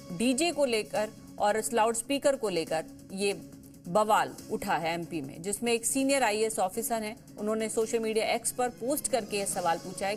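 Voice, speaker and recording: A woman's voice: mid-range voice, a little mellow. A woman anchoring television news, restating her points with emphasis as she reports.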